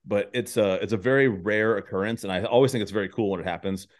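A man's voice: on the low side, quick pace. A man hosting a podcast in casual, joking conversation, rambling along with goofy enthusiasm. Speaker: podcast host.

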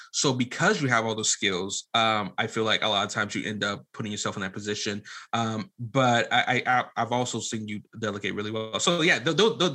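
Bright-voiced man talking informally as a guest. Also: medium pitch. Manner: informal, colloquial, earnest, self-deprecating. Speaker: podcast guest, audio drama producer and director. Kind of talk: casual interview conversation.

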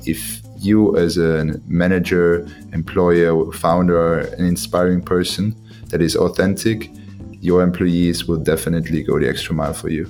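Very deep man, flat delivery. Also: normal speed, music in the background. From Austria. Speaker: student guest.

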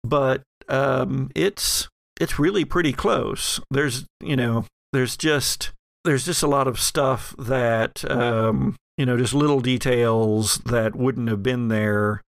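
A man working as game master, narrating a horror tabletop game and thinking aloud in a halting way. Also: medium pitch, vocal fry, dry recording, studio quality.

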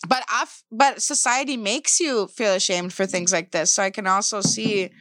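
A woman's voice: medium pitch, normal speed, fairly bright tone. A woman in her thirties, chatting casually and profanely, full of "like" fillers. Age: 30s. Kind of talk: casual chat.

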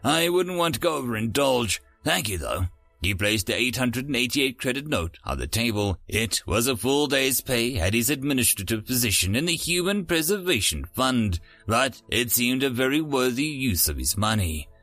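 A man's voice: mid-range voice, animated, moderate pace, hardly any pauses, a bit bright, no room sound, soft background music. A man narrating scripted stories as a host, with a theatrical, dramatic announcer delivery. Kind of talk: scripted story narration with a showy intro.

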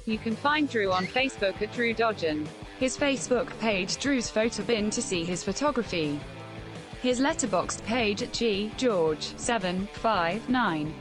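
Woman announcing over guitar music. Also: medium pitch. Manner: even, listing delivery. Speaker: podcast outro announcer. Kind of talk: scripted read-out.

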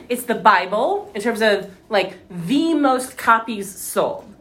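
A woman's voice: on the high side, lively intonation, slow pace. A woman interviewing a guest in a casual conversation, chatty and eager with many filler words. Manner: chatty, eager, lots of "like" and "you know".

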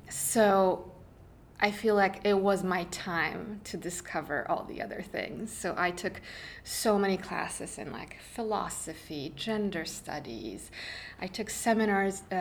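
A woman's voice: mid-range voice, slight background noise. A young woman, a guest artist chatting thoughtfully in an interview with many small fillers. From Poland. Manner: thoughtful, with frequent fillers and restarts. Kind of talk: casual interview chat. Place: studio space indoors.

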